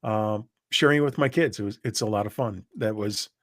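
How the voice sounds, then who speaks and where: A man's voice: fairly low pitch, fairly quick, a bit bright, dry recording, clean studio sound. A man hosting an interview podcast, upbeat and encouraging in his replies. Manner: upbeat, encouraging short responses. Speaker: podcast host. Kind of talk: casual interview chat.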